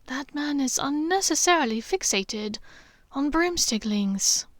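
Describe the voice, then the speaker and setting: A woman voicing a quick-tempered young woman who protests in a raised, lively voice. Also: no echo, clean studio sound. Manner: high, lively, protesting with a laugh.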